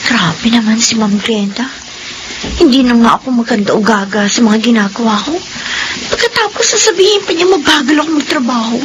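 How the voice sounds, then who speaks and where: High-voiced meek young Filipino housemaid played by an actress. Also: female voice, lively intonation, fairly quick, lightly acted. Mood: resentful muttering. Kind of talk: Filipino radio drama acting. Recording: noisy radio drama recording.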